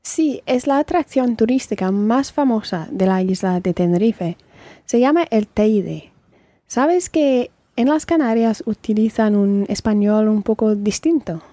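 A woman voicing a chatty character in a scripted textbook dialogue, speaking in a clear, measured way. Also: medium pitch, warm tone, no echo, lightly acted. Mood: friendly.

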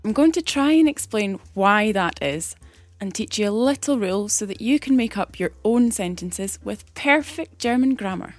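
A woman explaining a grammar rule in a clear, patient teaching manner, as a recorded segment. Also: medium pitch, expressive, normal speed, no echo, faint music behind. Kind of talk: scripted explanation. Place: recorded separately from the studio.

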